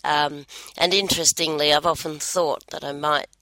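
A woman co-hosting a casual podcast, speaking in a measured, reflective way. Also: low-pitched, steady tone, bright, forceful tone, dry recording, rough recording. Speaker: podcast co-host.

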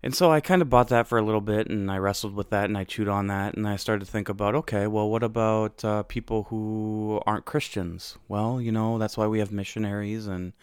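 A man's voice: fairly low pitch, steady tone, brisk, few pauses, smooth, no echo, studio quality. A young American man hosting a podcast, telling his own story in a reflective, conversational way with frequent fillers and restarts. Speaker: podcast host.

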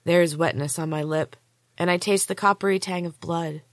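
Low-pitched woman narrating suspenseful fiction. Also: steady tone, normal speed, dry recording, clean studio sound. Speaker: author and podcast host reading own book. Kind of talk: dramatic first-person audiobook narration.

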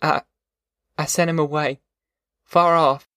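A man voicing a soothing, plainspoken middle-aged farm mother in an audiobook, soft-spoken, with a rural Southern American accent. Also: fairly high pitch, little pitch movement, slow pace, clean tone, dry recording, studio quality. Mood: gentle, firm. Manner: soft-spoken, folksy, reassuring. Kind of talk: audiobook character dialogue.

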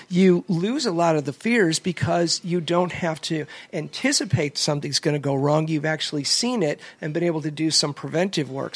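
A woman's voice: low voice, slightly rough, no echo. A woman who is a professional speaker, chatting as a podcast guest and telling her stories emphatically. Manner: emphatic, animated storyteller. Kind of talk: casual interview chat.

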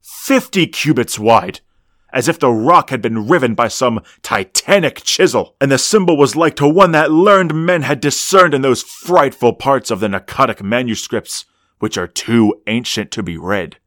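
An actor playing a grave storyteller, narrating a gothic horror radio drama with solemn, theatrical, measured phrasing. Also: male voice, mid-range voice, lively intonation, a bit bright, no room sound, clean studio sound, close to an everyday voice. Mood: solemn, ominous. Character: grave storyteller of an ancient dread legend.

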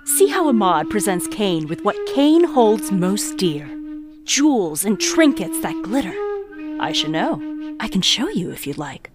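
Sly storyteller, swooping intonation. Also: female voice, mid-range voice, sing-song, a little slow, music in the background. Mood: sly, teasing. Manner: teasing, relishing, conspiratorial. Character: sly, knowing female storyteller of court intrigue. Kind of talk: dramatic narration in audio drama.

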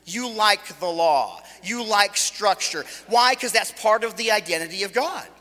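A man's voice: sits high, expressive, unhurried, pushed and bright. A man in his late forties preaching a sermon as a visiting speaker, loose and joking, talking conversationally with frequent restarts. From Los Angeles, California. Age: 40s. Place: church hall. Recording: echoey hall with long reverb.

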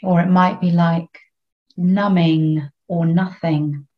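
A woman leading a guided meditation in a soothing, unhurried way, leaving long pauses between short phrases. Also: fairly low pitch, steady tone, dull audio.

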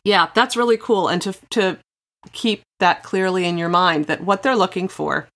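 Lower-voiced woman hosting an interview. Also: normal speed, a bit bright. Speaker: podcast host. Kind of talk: conversational interview.

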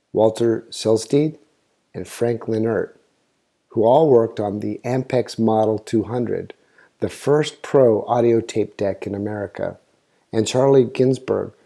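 A man's voice: on the low side, dark tone. A man hosting a history show, reading a scripted narration in an informative storytelling way, now and then stumbling over a word. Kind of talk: scripted narration, read aloud.

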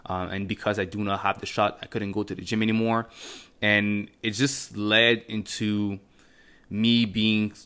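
A man's voice: fairly low pitch, little pitch movement, a little slow, a bit bright, clean tone. A man in his twenties with a slight French Canadian accent, a native French speaker, hosting a podcast in an earnest, motivational way with many fillers and restarts. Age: 20s. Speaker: podcast host. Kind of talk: casual solo podcast monologue.

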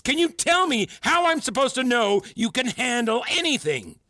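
A man's voice: medium pitch, lively intonation, normal speed, slightly rough. A man playing a manipulative, patronising mentor in an audio drama, speaking articulately and with control as a self-styled father figure. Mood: indignant, agitated.

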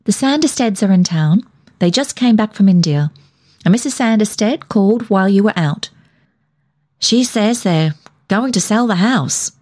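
Woman doing a self-important female house guest, higher pitched. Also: lively intonation, normal speed, darkish tone, close to an everyday voice. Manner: self-important, matter-of-fact.

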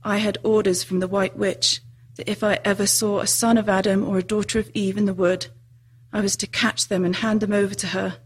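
Storybook male fawn, played by a woman: husky, mournful, tearful, wracked with guilt. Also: medium pitch, flat delivery, fairly quick, close to an everyday voice. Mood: confessing guilt. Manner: sobbing, groaning, mournful. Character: tearful, guilt-ridden male fawn, self-reproaching and sorrowful. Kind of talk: storybook character reading.

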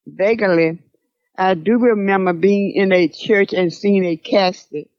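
A woman's voice: mid-range voice, fairly even intonation, unhurried, no room sound, close to an everyday voice. A woman playing a troubled older grandmother, reflective and formal, in an audio drama with slightly muffled sound. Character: troubled grandmother recalling her mother's death.

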